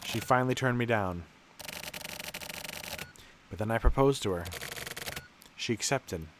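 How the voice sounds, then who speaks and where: A man reading game narration aloud in a measured, dramatic storytelling way. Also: medium pitch, noisy background.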